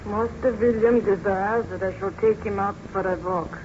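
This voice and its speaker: A woman's voice: mid-range voice, steady tone, moderate pace, natural voice. A woman playing a grim, strict nursemaid, noisy radio. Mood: deferential. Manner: formal, deferential, severe. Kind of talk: old-time radio drama acting. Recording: noisy, dull old radio recording.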